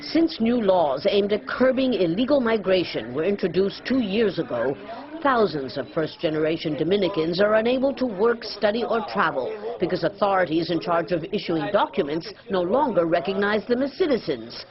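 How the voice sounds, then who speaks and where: A female news correspondent narrating a scripted report in a measured, expressive way. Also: medium pitch, lo-fi sound, a crowd in the background.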